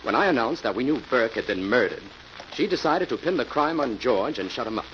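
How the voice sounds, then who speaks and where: An actor playing a cool, confident crime-fighter in an old radio mystery, speaking with assured deliberation. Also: male voice, mid-range voice, lively intonation, fairly quick, a bit bright, lightly acted. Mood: assured, explaining. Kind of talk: 1940s radio acting. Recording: dull, faintly noisy old radio recording.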